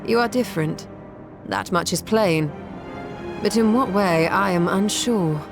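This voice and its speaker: A woman playing a perceptive, composed woman in a fantasy video game, speaking in a formal, courteous, measured way. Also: medium pitch, music in the background, natural voice. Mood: composed, probing. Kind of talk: dramatic video game cutscene acting.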